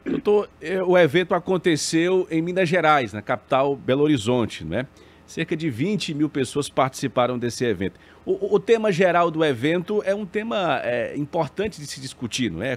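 A man's voice: on the high side, lively intonation, normal speed. A man hosting a morning TV news program from a studio, introducing and questioning a guest in a conversational way with tag questions. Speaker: TV news host.

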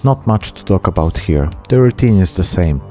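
Low, mellow-voiced man narrating a hobby vlog. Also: animated, normal speed, faint music behind. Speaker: hobby channel host. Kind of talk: miniature painting vlog narration.

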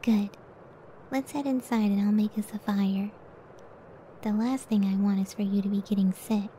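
A woman playing a gentle, affectionate cyclops giantess wife in an ASMR roleplay, speaking softly and soothingly close to the mic. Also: medium pitch, steady tone, normal speed, a little hiss or hum, lightly acted. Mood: tender, caring. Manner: soft, breathy, tender, soothing. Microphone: close ASMR microphone.

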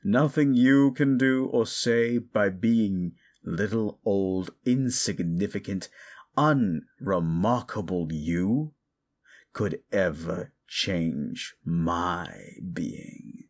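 A man playing a condescending, sardonic ancient demon in an audio roleplay, gravelly, with theatrical, mocking delivery. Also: low-pitched, very slow, darkish tone, full character voice. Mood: mocking, scornful. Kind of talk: audio roleplay monologue addressed to the listener.

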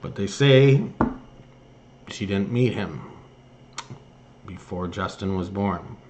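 A man with a fairly low voice presents online political commentary. He reads written pieces aloud and adds his own remarks in a halting way. Some noise sits behind his voice. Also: a little mellow, highs cut off. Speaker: host of an online news commentary show. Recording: noticeable background noise.

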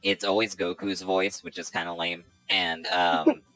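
A man's voice: sits low, flat delivery, a little slow, a bit bright, faint music behind, low-quality recording. A young man co-hosting a podcast, chatting casually and with opinionated enthusiasm about video games. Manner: opinionated, enthusiastic, rambling with fillers. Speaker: podcast co-host.

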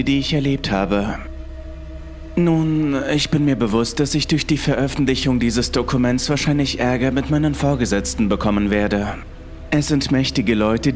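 A man's voice: medium pitch, darkish tone. A man reading a scripted first-person horror story as its narrator. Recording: music behind the voice. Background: music.

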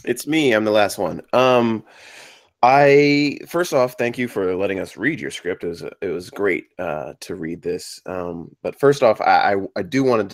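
A man giving informal feedback to a group, halting and hesitant, often repeating his words. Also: lowish voice, lively intonation, no room sound, studio quality. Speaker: table-read participant giving feedback.

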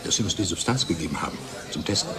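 An actor playing a defensive, world-weary research scientist in German-style radio drama dialogue. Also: male voice, deep voice, expressive, very fast, crowd noise. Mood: weary, resigned. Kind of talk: dialogue radio-play acting.

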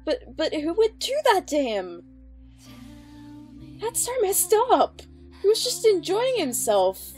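A woman's voice: very high voice, huge pitch swings, slow, pauses often, darkish tone. A woman hosting a reaction video, commenting casually as she goes. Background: background music.

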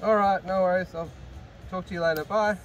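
A man's voice: high voice, fairly even intonation, a little fast, far from the mic, music in the background. An Australian man talking casually into a radio handset outdoors, picked up by a nearby camera. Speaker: camper making a radio phone call. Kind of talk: casual radio-phone conversation. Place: outdoors at a bush campsite. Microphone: camera mic a short distance away. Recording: noisy outdoor camera recording.

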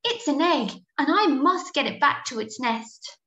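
A woman's voice: sits high, lively intonation, measured pace, pushed and bright, pitched above the performer's own voice, heavily acted. An Irish woman voicing an earnest, determined young female puffin chick in a storybook, exclaiming insistently. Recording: muffled, echoey room recording.

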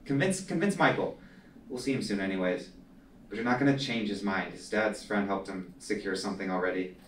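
A man's voice: fairly low pitch, a little fast, natural voice. A young man reading the part of a frustrated, sweary job seeker at a film table read, exasperated and stammering. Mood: weary. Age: young adult. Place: small meeting room, indoors. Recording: roomy, distant mic, faint noise.

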